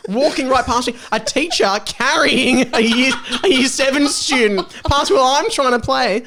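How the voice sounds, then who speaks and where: A man's voice: very high voice, normal speed, sharp tone, a little hiss or hum. A young Australian man, a comedian, chatting and reading aloud in a giggly, rambling way on a casual comedy podcast. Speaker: comedian, podcast guest or co-host.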